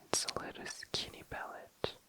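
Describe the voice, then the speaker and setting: ASMR presenter: breathy whisper throughout, very sharp and bright, soft lingering show-and-tell delivery. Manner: slow, lingering, repeats words softly. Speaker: ASMR video creator.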